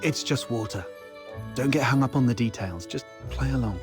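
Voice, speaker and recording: A man's voice: medium pitch, moderate pace, music in the background, close to an everyday voice. Man playing a playful, protective big brother. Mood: hushed, coaxing. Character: protective older brother, playful self-styled magician. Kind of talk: video game voice acting.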